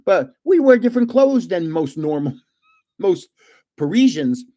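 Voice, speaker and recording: Grandfatherly stream host, 70s, animated storyteller with a booming laugh-filled delivery and lively pitch. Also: unhurried. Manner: animated, laughs often, rambling anecdotes; self-described booming voice when yelling. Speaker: livestream host opening trading cards. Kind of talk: casual storytelling chat.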